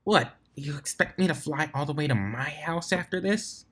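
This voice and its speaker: A man's voice: on the high side, moderate pace, a bit bright, breathy edge, voice pushed up. A man voicing an exhausted, nauseous male pony from a storybook, muttering laboriously. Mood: weary. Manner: muttering through pressed lips, laboured. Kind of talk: storybook character reading.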